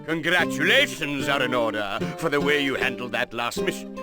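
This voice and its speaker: A noble rebel lord in a game , voiced by a man, briefs his commander. His mid-pitched voice is formal, measured and stern. Music runs clearly behind it. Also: animated, natural voice. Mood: stern, urgent. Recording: music under the voice.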